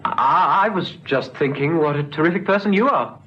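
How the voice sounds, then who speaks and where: An actor playing a kindly, persuasive police officer in an old radio drama, earnest and coaxing, sometimes stammering. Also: male voice, fairly high pitch, normal speed, pushed and bright. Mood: gentle, coaxing. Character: kindly, persuasive male police officer talking someone round. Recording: dull, noisy old radio recording.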